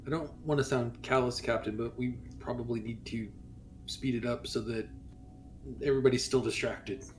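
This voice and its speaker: A man's voice: medium pitch, fairly even intonation, normal speed. A man playing a tabletop roleplaying game, asking questions with hesitant restarts and speaking in character. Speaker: player.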